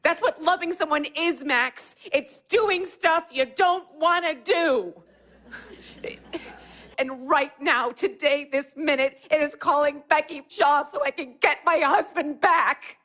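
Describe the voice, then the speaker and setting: A reproachful newly married woman in an audio stage play. She speaks in a high voice, pleading for help one moment and accusing the next, always insistent and fearful. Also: takes it slowly, a bit bright. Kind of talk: stage comedy-drama performed for audio.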